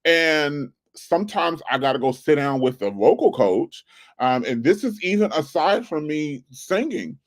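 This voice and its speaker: A man's voice: on the high side, expressive, a little slow. A man in his forties hosting his own channel, giving casual, earnest advice with a preachy, repetitive coaching tone. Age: 40s. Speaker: channel host. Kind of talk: casual livestream advice talk.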